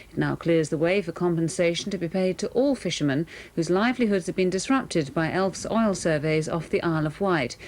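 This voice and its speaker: Low-voiced Englishwoman reading the news. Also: a little mellow. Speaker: television news presenter. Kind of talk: scripted news bulletin reading.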